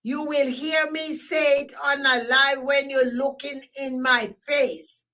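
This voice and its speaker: A woman with a Jamaican accent hosting a live stream, talking loudly and emphatically and repeating herself as she signs off. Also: high-pitched, fairly even intonation, slow. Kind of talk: casual live stream sign-off.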